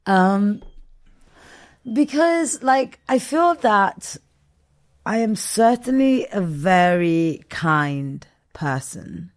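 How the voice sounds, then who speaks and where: A woman from northern Italy, a podcast guest, talking about herself in a reflective, unhurried way with frequent pauses and ums. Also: mid-range voice, animated, no echo, clean studio sound. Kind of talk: interview conversation.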